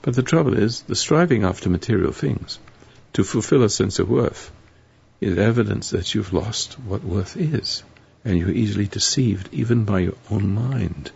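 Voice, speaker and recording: Hoarse gravelly male radio host. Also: fairly low pitch, animated, normal speed, a little mellow. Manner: rambling, preachy, sardonic. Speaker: radio talk show host. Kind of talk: live call-in radio monologue. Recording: dull lo-fi radio broadcast.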